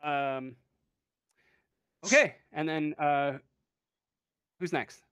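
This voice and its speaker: A game master improvising narration for a tabletop roleplaying game, pausing often to think. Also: mid-range voice, fairly bright tone, smooth, no echo, studio quality.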